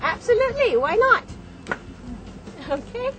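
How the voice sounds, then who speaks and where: A woman's voice: very high-pitched, big pitch swings, slow, background noise. A woman, a radio DJ chatting casually and in a friendly way as an interview guest. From Malaysia.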